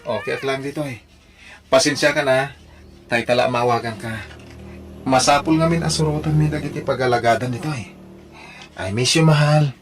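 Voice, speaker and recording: A man's voice: mid-range voice, normal speed, long pauses, lightly acted. A man with an Ilocano accent playing a devoted, reassuring young boyfriend in an audio drama. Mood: tender, reassuring. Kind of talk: Ilocano radio-style audio drama acting.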